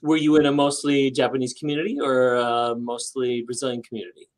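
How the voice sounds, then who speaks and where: A woman hosting a talk show, asking questions in an encouraging, halting, conversational manner. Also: extremely low pitch, flat delivery, a little mellow. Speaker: talk show host interviewer. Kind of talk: unhurried conversational interviewing.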